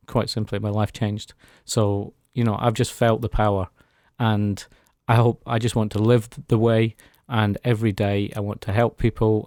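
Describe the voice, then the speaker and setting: A man's voice: on the low side, a little mellow, dry recording, studio quality. A man speaking as a guest in a radio interview, giving an earnest, reflective personal testimony. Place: radio studio.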